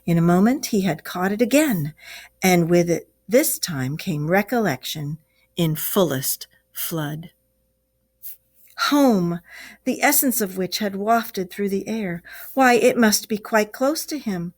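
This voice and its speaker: Woman reading a story aloud, expressive. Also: mid-range voice, takes it slowly. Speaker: teacher.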